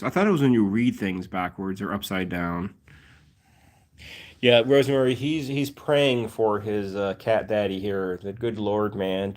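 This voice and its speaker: A man in his forties hosting a casual cat livestream, rambling with fillers and restarts and talking to his cats between viewer comments. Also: on the low side. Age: 40s. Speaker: cat channel livestream host.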